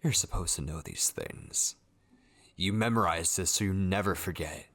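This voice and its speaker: Male actor as possessive kidnapper, horror ASMR roleplay, gravelly fry, husky breathy tone, intense, halting, whispery. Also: sits low, fairly bright tone, exaggerated voice. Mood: bitter, urgent. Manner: slow, intense, brooding delivery with drawn-out pauses. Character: obsessive, possessive male yandere kidnapper, menacing yet tender.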